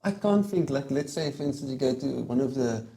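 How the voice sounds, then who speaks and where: A man's voice: mid-range voice, a little fast. Laid-back young man. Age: young adult. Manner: relaxed, laughs often, many fillers. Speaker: podcast host. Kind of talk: casual chat.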